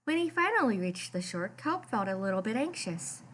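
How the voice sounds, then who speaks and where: A woman reading a children's picture book aloud as expressive storytime narration. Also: medium pitch, moderate pace, a little hiss or hum.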